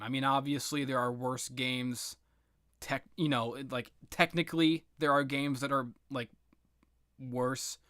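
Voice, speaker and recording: A man's voice: medium pitch, bright, forceful tone, dry recording, studio quality. A man giving an unscripted, opinionated rant about video games in a blunt, exasperated, sweary manner. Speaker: video game commentator.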